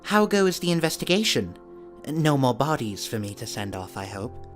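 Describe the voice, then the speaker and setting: Male actor as gracious monk master of a shabby space temple, mid-pitched, wry, music underneath. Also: big pitch swings, normal speed, lightly acted. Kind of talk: amateur sci-fi audio play acting.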